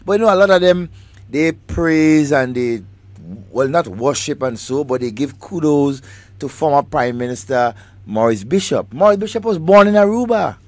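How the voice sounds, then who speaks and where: Animated radio co-host. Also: male voice, fairly high pitch, moderate pace, no room sound. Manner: animated, interjecting, stumbling restarts. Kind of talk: talk radio commentary.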